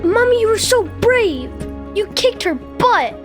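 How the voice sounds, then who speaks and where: A woman's voice: very high-pitched, big pitch swings, a little slow, full character voice. A woman voicing a plucky, affectionate little boy in an audio drama, childlike and eager, over music. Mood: excited. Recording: loud music under the voice.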